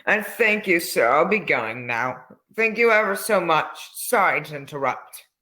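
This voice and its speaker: A man's voice: fairly high pitch, lively intonation, a little slow, bright, forceful tone, low-quality recording. A man playing an eager, polite, fussy old salesman in an old-time radio drama, folksy and repetitive in his talk. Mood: polite, grateful.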